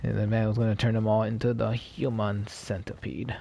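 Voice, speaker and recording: A man livestreaming a video game in a casual, low-key way, muttering to himself and reading text aloud. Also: flat delivery, brisk, gravelly, dark tone. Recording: dull, slightly noisy stream audio.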